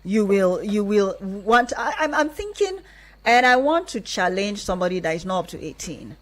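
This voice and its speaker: Nigerian woman hosting radio, medium pitch. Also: big pitch swings, normal speed. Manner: encouraging, frequent verbal backchannels. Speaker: radio host. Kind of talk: live radio interview.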